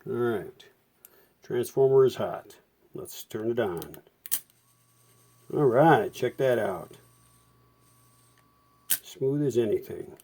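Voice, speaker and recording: A man's voice: mid-range voice, lively intonation, slow pace, long pauses, warm tone, breathy edge. A middle-aged man giving a casual hands-on repair tutorial, talking in an informal, folksy way as he works. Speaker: host of a vintage radio repair channel.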